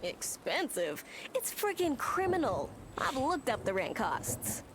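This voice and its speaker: A woman voicing a blunt, excitable young villager in a video game, with an emphatic, slangy way of talking. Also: sits high, takes it slowly, slight background noise, full character voice. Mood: indignant.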